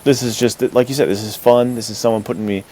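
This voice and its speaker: A man chatting casually as a podcast co-host, gushing and effusive like an excited fan. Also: medium pitch, quick pace. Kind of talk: casual chat.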